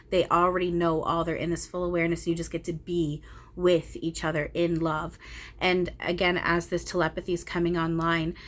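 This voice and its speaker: A woman in her thirties, a channeler talking casually and meanderingly about spiritual experiences, with many ums and restarts. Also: lowish voice. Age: 30s.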